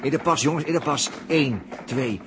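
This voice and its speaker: A man's voice: medium pitch, moderate pace, natural voice. A man playing a brash, bossy, joking space traveller who barks short orders in a 1960s radio drama. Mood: bossy, hurried. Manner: barking orders in short colloquial bursts. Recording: very noisy old 1960s radio recording.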